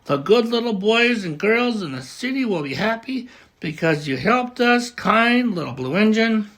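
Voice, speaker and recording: A man's voice: sits high, lively intonation, moderate pace, a bit bright, pitched above the performer's own voice, heavily acted. A man voicing a group of dolls and toys from a storybook, pleading together. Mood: grateful.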